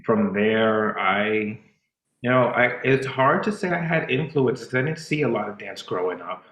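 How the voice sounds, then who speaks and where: A man's voice: mid-range voice, expressive, moderate pace, a bit bright, reverberant, low-quality recording. A Dominican-born man whose first language is Spanish, a dancer and choreographer talking casually on a podcast, reflective, with many fillers and restarts. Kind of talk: casual interview chat.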